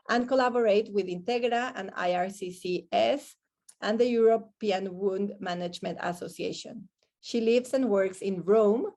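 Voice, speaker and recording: A woman with a mid-pitched voice acts as moderator. She reads out a speaker introduction in the manner of an online panel event. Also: a little mellow.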